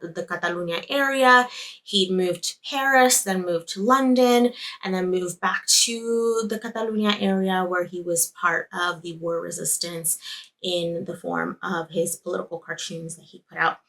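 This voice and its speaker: A chatty woman podcaster whose first language is Spanish, her voice bright and forward, sitting a touch low in pitch. She rambles through her story, laughing now and then and correcting herself mid-sentence. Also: steady tone, moderate pace. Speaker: podcast host. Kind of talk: casual travel recap.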